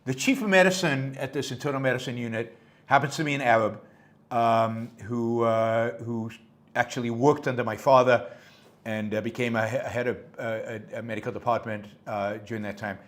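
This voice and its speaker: A man's voice: mid-range voice, fairly even intonation, moderate pace. A middle-aged man hosting his own show, giving unscripted solo commentary in an emphatic way, repeating words for stress with many fillers and restarts. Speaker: podcast host.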